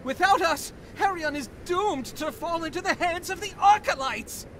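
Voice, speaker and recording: An actor playing an arrogant, hate-filled young noble mage in a fantasy game, haughty and sneering. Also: male voice, very high-pitched, moderate pace, fairly bright tone, a little hiss or hum. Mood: haughty indignation. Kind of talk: dramatic fantasy game voice acting.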